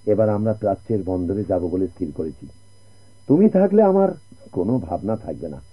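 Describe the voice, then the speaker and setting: An actor playing a kindly, generous shipowner in a radio drama, speaking in a reassuring, patronly way. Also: male voice, deep voice, sing-song, moderate pace, husky, heavily acted. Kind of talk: Bengali radio drama acting. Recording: muffled old radio drama recording.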